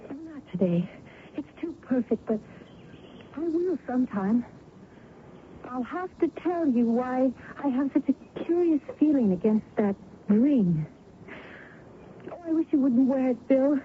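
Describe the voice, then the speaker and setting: A woman's voice: high-pitched, expressive, unhurried, long pauses. An actress playing a young woman in love in an old-time radio drama, earnest, hesitant and superstitious. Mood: tender, hesitant. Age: young adult. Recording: muffled, band-limited vintage radio recording, faint noise.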